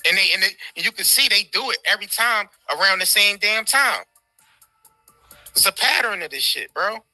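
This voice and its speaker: High-voiced American man, sharp and slangy. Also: animated, moderate pace, dry recording. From United States. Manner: slangy, profane, repetitive. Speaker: co-host. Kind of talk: casual livestream commentary. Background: faint background music.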